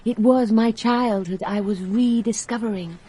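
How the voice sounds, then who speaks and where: Unhurried, wistful young woman. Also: a little high, warm tone, poor audio. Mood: wistful recollection. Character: cultured, candid young woman recounting a love affair. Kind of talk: British radio drama acting and narration. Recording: radio drama with clear background noise.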